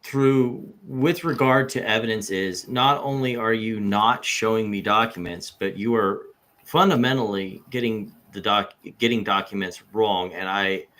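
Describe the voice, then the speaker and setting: A man's voice: on the low side, fairly bright tone. A man playing an evasive, combative witness in a read-aloud deposition, guarded, curt and defensive. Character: evasive, combative male witness under questioning.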